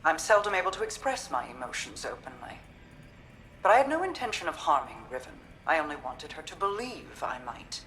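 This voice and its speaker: An actress playing a regal, guarded and calmly resolute queen in a video game, speaking in a measured, formal, restrained manner. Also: low voice, rough recording. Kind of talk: dramatic video game dialogue.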